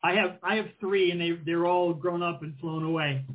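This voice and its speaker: A man's voice: high voice, fairly even intonation, moderate pace. A middle-aged man co-hosting an interview, asking friendly questions with frequent ums and restarts. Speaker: co-host. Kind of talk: casual interview conversation. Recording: tinny phone-line audio.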